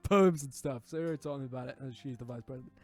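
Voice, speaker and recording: A man's voice: mid-range voice, fast, close to an everyday voice. A man voicing a sarcastic, casual teenage boy, the protagonist of a visual novel, in a wry teasing way.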